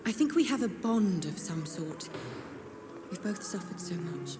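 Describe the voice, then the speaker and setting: A brooding, melancholy vampiress with a lowish female voice. Her delivery is formal and theatrical, gothic game drama, with clear noise behind her. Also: lively intonation, a little slow. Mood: wistful, brooding. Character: melancholy female patient convinced she is a vampire. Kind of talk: dramatic video game dialogue. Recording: clear background ambient noise.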